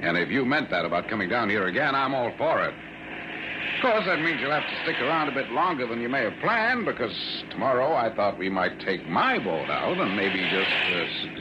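A man's voice: medium pitch, very animated, normal speed, close to an everyday voice. An actor playing a detective's genial, talkative businessman friend in a radio drama, chatty and coaxing. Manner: chatty, coaxing, exasperated at times. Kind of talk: old-time radio drama acting. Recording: muffled vintage radio recording, faint noise.

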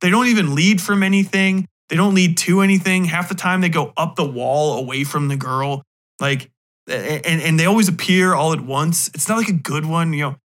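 A man's voice: a little high, a little fast, a bit bright. A man hosting a podcast, delivering a casual, sweary rant in a sarcastic, exasperated and emphatic manner. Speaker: podcast host. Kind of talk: casual profane movie rant.

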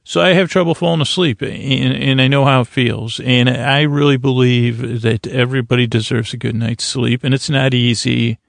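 Creaky guy podcast host, rambles and stutters, kinda self-deprecating, sleepy-time monologue, dry close sound. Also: mid-range voice, fairly even intonation, normal speed, hardly any pauses, dry recording. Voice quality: creaky, gravelly.

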